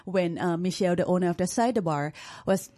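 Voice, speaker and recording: A woman's voice: fairly low pitch, brisk, dark tone. A woman from Thailand with a Thai accent, a chef being interviewed on the radio, friendly, with lots of "you know.". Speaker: chef and food vendor.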